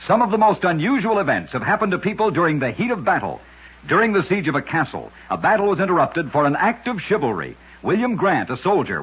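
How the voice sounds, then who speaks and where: Husky old-time radio storyteller, a man. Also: high-pitched. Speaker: host narrating short radio oddity stories. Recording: dull, lo-fi old radio broadcast.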